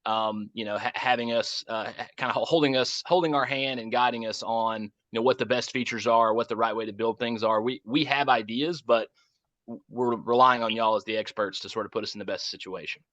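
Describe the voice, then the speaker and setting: An American man answering questions informally on a conference call as a project lead, circling back to rephrase in a halting way. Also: mid-range voice, fairly even intonation, a bit bright, dry recording. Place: conference call, dry close sound. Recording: muffled, dull conference call audio.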